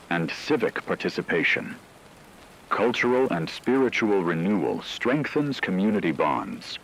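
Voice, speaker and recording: Male radio anchor played by a man for apocalyptic broadcast drama: low voice, measured and earnest, faint hiss. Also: fairly bright tone, heavily acted, low-quality recording. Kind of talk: scripted radio news narration. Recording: hissy radio with rain-like noise.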